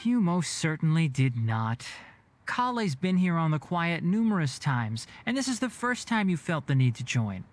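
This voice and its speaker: A man's voice: a little high, big pitch swings, normal speed. An actor playing a blunt, dry-witted young man who teases his friend. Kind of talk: game voice acting.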